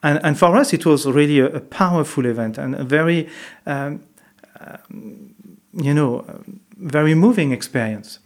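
A man's voice: on the high side, moderate pace. French-accented man, hesitant storyteller. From France. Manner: hesitant, many fillers and restarts. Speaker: monk. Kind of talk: reflective interview storytelling.

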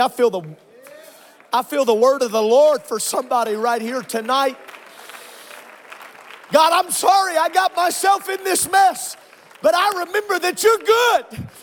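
A male pastor preaching and praying with fervour, repeating phrases, out of breath and swinging from shouts to low murmurs. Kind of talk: Pentecostal preaching and prayer. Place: church sanctuary with congregation.

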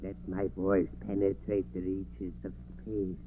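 A man acting a sly, evasive fraud spirit medium in a 1940s radio drama, theatrical and smooth but easily flustered. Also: low voice, completely flat delivery, unhurried, warm tone. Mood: solemn, pompous. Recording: scratchy 1940s radio recording, muffled, narrow band, hum.

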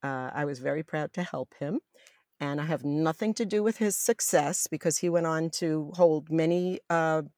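A middle-aged woman with a deep voice for a woman, fondly and enthusiastically reminiscing in an interview. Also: darkish tone, dry recording, studio quality. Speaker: interviewee, former college radio jazz announcer. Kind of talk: reminiscing in an oral history interview.

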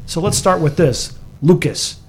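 A man's voice: a little high, animated, measured pace, slight background noise. A man in his fifties from the United States, co-hosting a casual talk show in a chatty, rambling, teasing way. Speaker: co-host.

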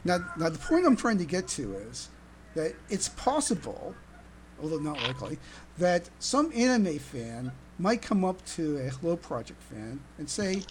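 A podcast host talking casually with fellow fans in a halting, deliberate way, often repeating words, on a noisy amateur recording. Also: mid-range voice, big pitch swings. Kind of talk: casual fan podcast discussion.

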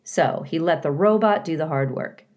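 A woman narrating a children's novel aloud as an expressive storybook reader. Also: sits low, moderate pace.

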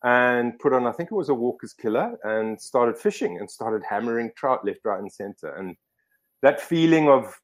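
A man's voice: mid-range voice, animated, normal speed. A middle-aged man from Zimbabwe telling stories as a podcast guest, rambling with many fillers and restarts. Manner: rambling, self-correcting, lots of fillers. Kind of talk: casual storytelling interview.

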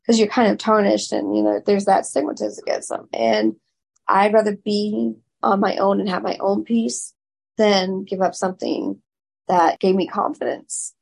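A woman guest with a raspy voice, speaking loudly and frankly in a candid interview. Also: medium pitch, expressive, moderate pace. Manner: loud, frank and open.

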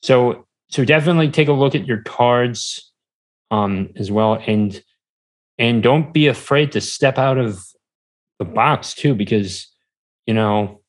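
A man talks casually as a guest on an interview podcast. His voice has an average male pitch. He rambles in a halting way, doubling back and repeating words as he builds each thought. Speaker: podcast guest, small-business entrepreneur.

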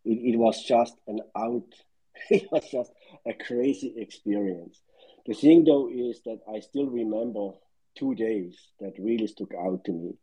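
A man's voice: medium pitch, measured pace, dark tone, slightly rough, poor audio. An older man from Switzerland, calling in to a live audio room and rambling through dates and figures from his early years in finance. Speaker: caller. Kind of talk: casual market recollection.

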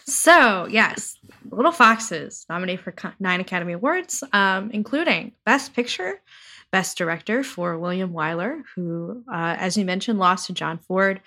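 A woman's voice: fairly low pitch, expressive, normal speed, sharp tone. A woman co-hosting a casual podcast chat, easygoing with dry humor. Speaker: podcast co-host.

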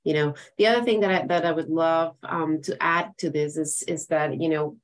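A woman's voice: low voice, moderate pace. A woman from Ecuador hosting a podcast conversation in an encouraging, affirming manner. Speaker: podcast host. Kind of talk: conversational interviewing.

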